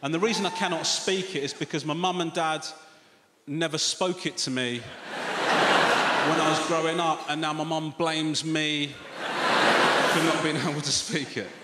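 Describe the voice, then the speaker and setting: Comic in his 40s, fairly high voice, big echoey theatre, loud crowd laughing. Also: moderate pace, heavy background noise. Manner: animated, confiding, swears freely. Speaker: stand-up comedian. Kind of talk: stand-up comedy set. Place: large theatre stage. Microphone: handheld stage mic.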